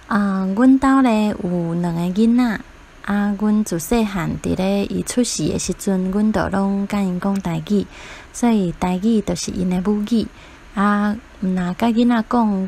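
A woman's voice: medium pitch, gravelly, dark tone. A woman with a Taiwanese accent, a parent guest casually telling her own story. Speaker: parent guest sharing experience.

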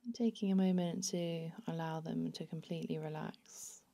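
A woman narrating a guided body scan meditation in a soothing, unhurried, hushed manner. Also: deep voice, steady tone, vocal fry.

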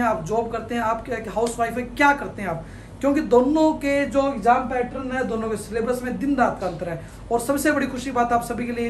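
A man's voice: extremely high pitch, a little hiss or hum. A man in his thirties from India, giving study advice straight to camera with a clip-on mic in a small studio. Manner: fast, energetic, gestures with hands while explaining. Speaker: education counselor. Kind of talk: direct-to-camera advice video. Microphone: clip-on lapel mic. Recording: echoey room with faint ambience.

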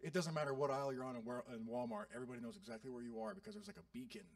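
A male pastor in his thirties giving an animated, joking sermon to his congregation. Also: high voice, racing, creaky, no room sound, clean studio sound, recorded from a distance. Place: church sanctuary.

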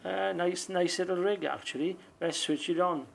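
A man giving a casual hands-on demonstration in an unhurried, plain-spoken way. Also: high voice, creaky, a little hiss or hum. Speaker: presenter of a radio demonstration video. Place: small indoor room or shed.

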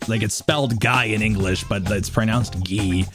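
A man's voice: fairly low pitch, fairly even intonation, normal speed. A man in his thirties on a headset, chatting casually and playfully while speedrunning a game, muttering little nonsense syllables as he plays. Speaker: speedrunner streaming. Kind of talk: casual live-stream chat while playing. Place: home room in front of a webcam. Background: game music.

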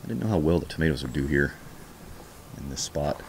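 A man giving a casual walking tour of his backyard garden outdoors, chatty and relaxed as he points things out on a handheld camera. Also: very deep voice, a little fast, slight background noise. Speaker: homestead vlogger. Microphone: handheld camera held while walking.

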